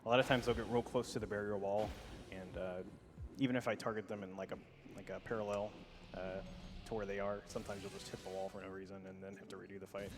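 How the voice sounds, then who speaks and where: A man's voice: on the low side, little pitch movement, fast talker, background noise. A man playing a game live and casually explaining its mechanics as he goes, with loose fillers. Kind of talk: casual live gameplay commentary. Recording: loud game music behind voice.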